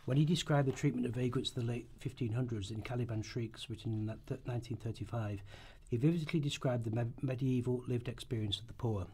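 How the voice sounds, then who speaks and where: A man's voice: medium pitch, quick pace, hardly any pauses, warm tone, a little hiss or hum. A man reading a script aloud as a narrator in rehearsal, now and then stumbling over his words. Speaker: radio play narrator in rehearsal.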